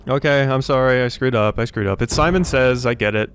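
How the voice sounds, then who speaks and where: A man's voice: medium pitch, a little fast, a little hiss or hum. A man doing casual gameplay commentary, muttering to himself as he thinks aloud in a self-deprecating way. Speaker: let's play host.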